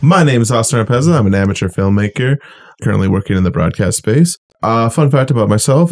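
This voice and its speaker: A young man with a slightly low voice chatting on a podcast. He rambles as he talks and keeps filling pauses with "like.". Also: darkish tone. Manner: rambling, full of "like". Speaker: podcast co-host. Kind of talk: casual chat.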